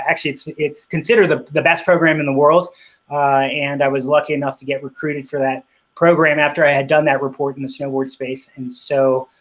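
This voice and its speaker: A middle-aged man in a casual interview, talking about his work with frequent pauses, fillers and restarts; he grew up speaking Spanish. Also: medium pitch. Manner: hesitant, many fillers and restarts. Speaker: interview guest, cycling business owner.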